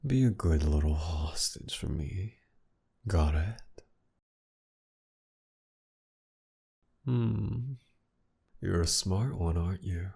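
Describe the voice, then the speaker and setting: A man playing a mocking, menacing masked villain kidnapper in an intimate ASMR roleplay, with a gravelly, breathy murmur that often sinks toward a whisper. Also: sits low, dark tone, full character voice. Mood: taunting, smug. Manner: taunting, commanding, slow and teasing.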